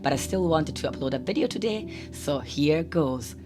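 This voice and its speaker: A woman hosting a watercolour channel, talking in a hoarse, croaky voice from a cold as she narrates a chatty tutorial voice-over. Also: sits low, lively intonation, normal speed. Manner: chatty, explanatory, lightly humorous. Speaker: art channel host. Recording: voice-over with guitar music behind.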